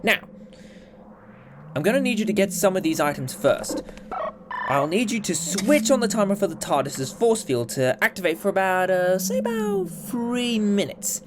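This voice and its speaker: Man playing a lively time-travelling alien adventurer. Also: sits high, normal speed, noisy background. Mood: brisk, upbeat. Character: brisk, upbeat, mysterious male time-travelling alien adventurer. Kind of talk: fan audio drama acting. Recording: loud music behind.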